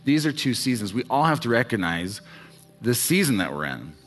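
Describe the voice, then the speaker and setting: A man's voice: mid-range voice, big pitch swings, normal speed, faint music behind. A man in his early forties preaching a sermon as pastor, talking conversationally and checking in with the congregation with frequent "okay"s. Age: 40s. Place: church sanctuary.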